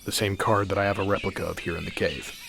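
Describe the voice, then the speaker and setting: A man's voice: low-pitched, fairly even intonation, fast talker, gravelly, noisy background. A man playing a stern, secretive vigilante father in an amateur superhero radio drama, stubborn and protective. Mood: grave, recounting. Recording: music bed behind the voice.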